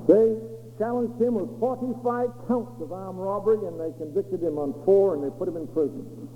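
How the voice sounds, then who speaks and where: A high-voiced man with a Maine accent preaches in a dramatic, swooping storyteller's manner, acting out each quote. His voice rings through a large echoing hall. The sound is thin and phone-like, with a faint hum underneath. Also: normal speed. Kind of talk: sermon testimony storytelling. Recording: echoey hall over a tinny lo-fi line with faint hum.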